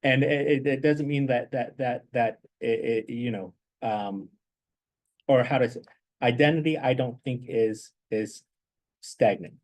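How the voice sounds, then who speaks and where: A man giving reflective advice as a guest speaker, in a halting way that repeats words as he thinks. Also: mid-range voice, a little mellow. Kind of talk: reflective advice in an informal talk.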